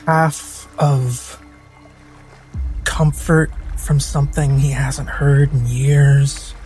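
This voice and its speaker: A man improvising narration of his character in a tabletop game, in halting, measured phrases. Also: mid-range voice, steady tone, a little mellow. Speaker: tabletop roleplay player. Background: background music.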